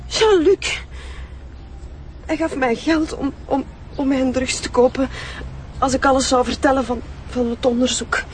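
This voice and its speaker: Very high, stammering Flemish young woman, frightened. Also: steady tone, a little slow, pauses often, a little hiss or hum. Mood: frightened, distraught. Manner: stammering, repeating words. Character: frightened, cornered young female drug-user informant. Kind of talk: screen drama acting.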